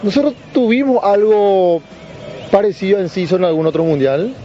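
A man on a sports panel talks thoughtfully in a mellow, high voice. The sound is muffled, with faint hiss. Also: moderate pace. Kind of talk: casual sports chat. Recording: muffled stream audio, faint noise.

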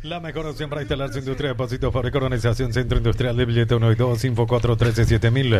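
A man's voice: medium pitch, level tone, rapid-fire, a little mellow. A man with a Paraguayan accent reading scripted radio advertisements as an announcer. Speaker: commercial announcer.

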